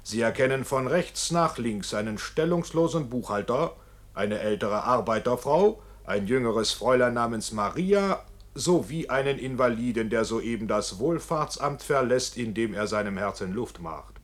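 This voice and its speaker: A man's voice: mid-range voice, lively intonation, normal speed, natural voice. An actor in a radio play playing a sardonic street-ballad showman, narrating in a theatrical, declamatory manner. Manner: declamatory, fairground presenter.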